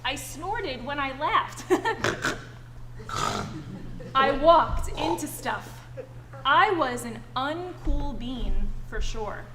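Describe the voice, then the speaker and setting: High-pitched female educator, animated and encouraging read-aloud for kids, live in an echoey room. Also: drawn-out words, pauses often, loud music behind the voice. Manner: encouraging, addresses children with endearments. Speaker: educator reading aloud to children. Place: indoor school family event, live audience. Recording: echoey room with background noise.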